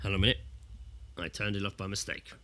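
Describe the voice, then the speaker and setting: A man's voice: low-pitched, level tone, fast talker, sharp tone. A British man co-hosting a podcast over a call, chatting casually with a jokey, sweary manner into a close microphone. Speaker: podcast co-host. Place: indoors, recording remotely over Skype.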